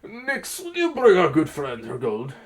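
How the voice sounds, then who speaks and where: A man voicing a fat, smug male circus crime boss with a drawn-out, self-amused delivery in a story reading. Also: on the high side, wildly swooping pitch, voice pushed up, exaggerated voice. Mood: dismissive.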